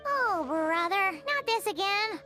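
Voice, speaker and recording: Squeaky, very high childlike fairy. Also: female voice, expressive, slow, bright, forceful tone, full character voice. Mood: exasperated. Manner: speaks of self in third person, exclamatory. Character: small excitable childlike fairy companion, female, easily exasperated. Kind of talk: video game voice acting. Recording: music behind the voice.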